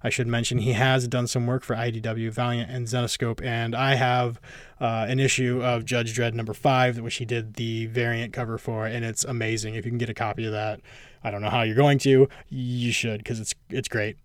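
A man's voice: mid-range voice, level tone, normal speed, no room sound, studio quality. A man hosting a podcast, giving a casual, rambling intro with lots of fillers. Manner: casual, many fillers and restarts. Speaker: podcast host. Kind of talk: solo intro to a recorded interview.

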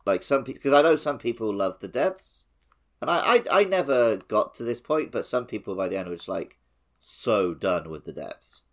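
A man co-hosting a podcast, chatting casually about a video game and tossing in quick reactions. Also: lowish voice, lively intonation. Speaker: podcast co-host.

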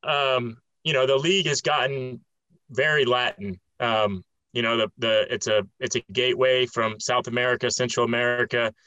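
A man's voice: medium pitch, fairly even intonation, normal speed, bright, forceful tone, clear, resonant tone, dry recording, muffled recording. A man hosting an interview, who also does some commentating, talking in long, rambling questions full of fillers. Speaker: podcast host and occasional commentator.

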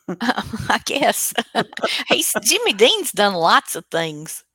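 A woman's voice: medium pitch, wildly swooping pitch, slow, pushed and bright, slightly rough, no room sound. A woman, hosting and casually chatting as she introduces old radio dramas. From United States. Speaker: host.

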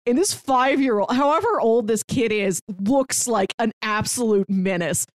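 A woman's voice: on the high side, animated, moderate pace, no room sound. A woman co-hosting a podcast, talking in a chatty, enthusiastic way in a casual review conversation. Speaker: podcast co-host.

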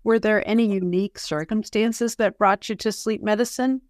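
A woman's voice: mid-range voice, fairly even intonation, normal speed, darkish tone, no room sound, clean studio sound. A woman hosting a podcast interview, introducing and putting prepared questions to a guest in a conversational way.

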